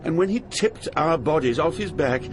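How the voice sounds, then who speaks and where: A man's voice: on the high side, animated, normal speed, heavy background noise, close to an everyday voice. An actor playing an awed, fearful poet pilgrim, narrating his journey through hell in a solemn, theatrical manner. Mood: solemn dread. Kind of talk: dramatized literary narration.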